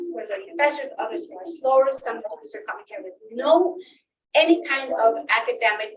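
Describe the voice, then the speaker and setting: A woman with a somewhat high voice speaks out at a public meeting. She is emphatic, repeating phrases and checking in as she goes. The sound is distant and echoey, slightly muffled with faint noise. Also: a bit bright. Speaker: school staff member speaking at a public meeting. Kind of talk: impassioned bilingual public comment. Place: indoor public meeting room.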